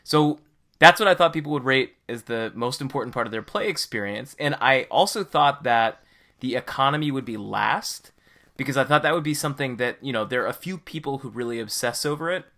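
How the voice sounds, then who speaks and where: A man's voice: mid-range voice, a little fast, bright, forceful tone. A man hosting a podcast in casual, conversational discussion. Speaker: podcast host.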